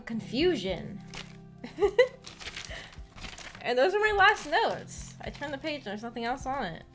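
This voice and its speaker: A giggly woman hosting a book club stream, chatting casually with viewers and musing out loud. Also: high voice. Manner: musing, thinking aloud.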